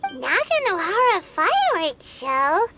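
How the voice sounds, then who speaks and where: Tiny curious fairy sidekick for a game: squeaky, very high, wildly animated, over loud music. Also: female voice, slow, heavily acted. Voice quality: squeaky, childlike. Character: small childlike floating fairy companion, curious and eager. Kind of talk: video game character acting.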